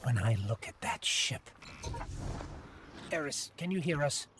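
An actor playing a small, earnest, anxious robotic companion, speaking expressively and thoughtfully in a game. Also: male voice, on the high side, animated, unhurried, noisy background. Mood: uneasy, pensive. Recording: loud music and game sound behind.

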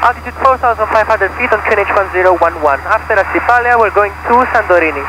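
A male pilot with a Greek accent makes procedural radio calls in a high, quick voice. He is on a headset in a small aircraft cockpit, with clear engine noise throughout. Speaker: student pilot. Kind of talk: aviation radio communication. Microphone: aviation headset. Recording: noisy cockpit intercom, engine drone.

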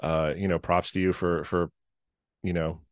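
Man in his 40s, low nasal voice, chatty guest on a call, dry mic. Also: little pitch movement, moderate pace, no room sound. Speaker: podcast guest. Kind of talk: casual chat over a video call. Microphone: headset and podcast microphone on video call.

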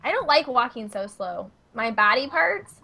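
Bright-voiced Midwestern American woman. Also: a little high, big pitch swings, normal speed, vocal fry. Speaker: gaming streamer. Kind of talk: casual co-op gameplay chat.

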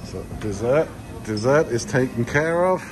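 A man's voice: medium pitch, lively intonation, measured pace. A man narrating a walking tour of a food court, exclaiming with enthusiasm over the dishes. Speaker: vlogger touring a food court. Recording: noisy outdoor street recording.

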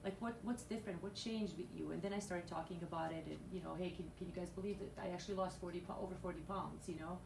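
A woman from Bosnia talks openly on a radio show, with a low, gravelly voice and easy fillers. The sound comes from a distant mic in a roomy studio with steady noise behind. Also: quick pace, few pauses. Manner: chatty, frequent restarts and fillers. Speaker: weight loss client guest. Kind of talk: candid radio interview. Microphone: boom mic, seen from afar. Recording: echoey studio, far camera mic, noisy.

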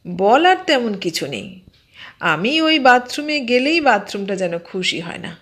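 Woman reading as measured, stubbornly sceptical man. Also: on the high side, very animated, a bit bright. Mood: matter-of-fact, measured. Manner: measured, matter-of-fact explaining. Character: mild, stubbornly sceptical man living in a haunted flat.

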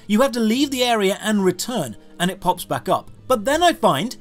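High-voiced, sardonic man narrating a game review. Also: very animated, normal speed, soft background music. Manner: sardonic, exasperated. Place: voice-over recorded off screen over gameplay footage.

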